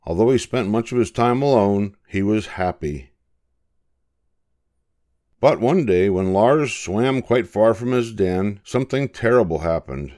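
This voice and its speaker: An older man narrating a children's picture book in a measured, expressive storytelling manner. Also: on the low side. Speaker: storybook reader.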